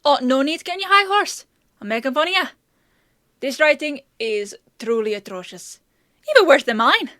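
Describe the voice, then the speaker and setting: A woman's voice: high-pitched, lively intonation, normal speed, sharp tone, no echo, studio quality. A woman playing a bossy, teasing female apothecary in a fantasy audio roleplay, with a chatty, sardonic, playfully scolding manner.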